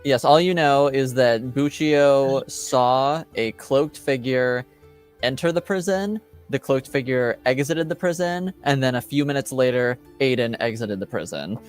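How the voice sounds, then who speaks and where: A man improvising narration as game master of a tabletop game, halting as he thinks aloud. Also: medium pitch, clean tone, faint music behind.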